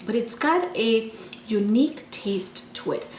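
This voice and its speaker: A woman's voice: a little high, animated, unhurried, darkish tone. A woman from Tanzania giving a casual, enthusiastic home cooking demonstration, repeating words for emphasis. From Tanzania, East Africa. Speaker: home cooking show host. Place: indoor kitchen. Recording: echoey room with faint background noise.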